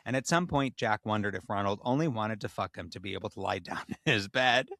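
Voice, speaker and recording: Low-voiced man narrating, dry close sound. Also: a little fast, vocal fry, a bit bright, dry recording. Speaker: podcast host and reader. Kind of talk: comic narration and casual banter.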